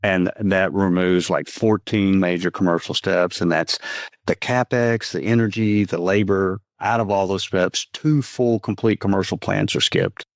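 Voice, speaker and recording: A very deep-voiced man from Texas, in his fifties, with a faint Texan accent. He talks in an earnest, measured, explanatory way. The sound is dry and close, with no room around it. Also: steady tone, no echo. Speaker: company founder guest. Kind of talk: podcast interview answers.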